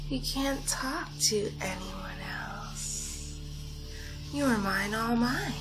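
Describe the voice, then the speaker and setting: Girl doing a playful kidnapper villainess asmr, highish voice, whispery n teasing, possessive, really drawn out. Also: poor audio. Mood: menacing, possessive. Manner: slow, teasing, cutesy, drifting in and out of whispers. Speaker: ASMR roleplay performer. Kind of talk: ASMR roleplay, intimate and whispery.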